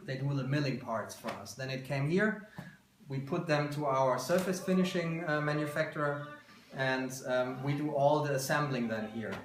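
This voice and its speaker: Man, thirties, German accent, halting explanatory talk, roomy sound, some background noise. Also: mid-range voice. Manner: halting, searching for words. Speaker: company founder giving a workshop tour. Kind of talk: informal explanatory product tour. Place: small workshop room, indoors. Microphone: handheld camera a couple of metres away. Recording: roomy handheld video, background noise, clicks.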